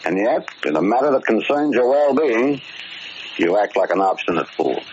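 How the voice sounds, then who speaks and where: Deep, animated, concerned prison warden. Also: male voice, moderate pace. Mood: exasperated, concerned. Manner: earnest, insistent, questioning. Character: concerned, paternal, exasperated male prison warden. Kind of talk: 1940s radio drama acting. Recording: muffled, hissy vintage radio broadcast recording.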